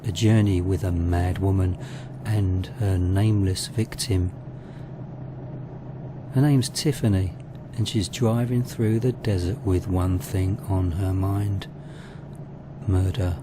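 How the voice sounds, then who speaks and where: A man presenting a horror audio drama as narrator, in an ominous, deliberate, theatrical style. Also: low-pitched, background noise.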